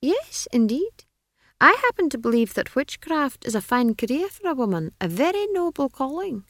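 A pompous old wizard of the storybook kind, as a woman narrator plays him, benevolent and self-satisfied. The pitch rides high and swoops through airy, patronising phrases, recorded close and dry. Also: sing-song, no echo. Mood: genial, patronising. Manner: patronising, unhurried, airy speech.

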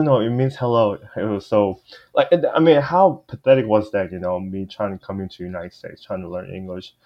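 A man who grew up in South Korea and speaks English with a Korean accent, chatting easily as a panel guest and often saying "you know". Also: low voice. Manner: easygoing, laughs often, fillers like "you know". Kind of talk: casual panel discussion. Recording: dull lo-fi sound.

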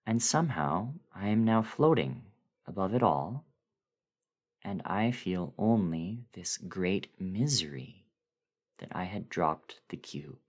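A man with a deep voice reads a horror story aloud as a dramatic performance. His delivery is deliberate and brooding, moving slowly and swinging widely in pitch as the emphasis swells. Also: expressive. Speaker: dramatic reader.

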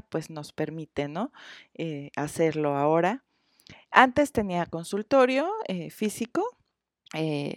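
A Mexican woman in her forties, a therapist being interviewed, who talks in a halting way with many hesitations. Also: deep voice, a little mellow, no room sound, studio quality. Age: 40s. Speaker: therapist guest. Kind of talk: interview conversation.

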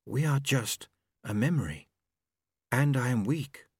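A man voicing a faint, disembodied young man who speaks slowly and solemnly, weak as a breath. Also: mid-range voice, expressive, husky, no echo, clean studio sound, pitched above the performer's own voice. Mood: hushed. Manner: slow, hushed, solemn.

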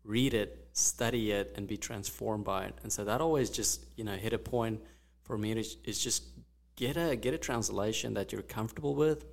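A man whose first language is Afrikaans, co-hosting a faith podcast in a relaxed, reflective, friendly way. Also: on the low side, moderate pace, creaky. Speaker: podcast co-host. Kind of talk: casual faith podcast chat.

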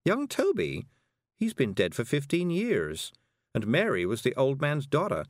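A man doing a calm, measured witch woman. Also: mid-range voice, dry recording, studio quality, pitched above the performer's own voice. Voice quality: slow, measured, patient.

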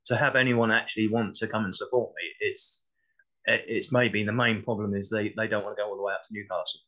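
A man with a southern English accent and a slightly low voice speaks as a guest in a casual discussion. He is hesitant, often repeating a word as he starts a thought. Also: steady tone, fairly quick, sharp tone, low-quality recording. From Essex, England. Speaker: podcast guest.

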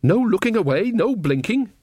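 A man's voice: sits high, very animated, moderate pace, a little mellow, breathy edge, dry recording, clean studio sound, pitched above the performer's own voice, exaggerated voice. A man voicing an old village witch woman, earthy and blunt, with folksy dialect grammar, in a storybook reading. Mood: gloomy, exasperated.

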